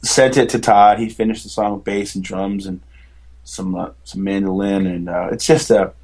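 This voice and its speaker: Male baritone, lowish pitch, chatty and rambling musician guest on a podcast. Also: fairly even intonation, moderate pace, darkish tone. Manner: rambling, enthusiastic, many fillers and restarts. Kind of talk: casual interview chat.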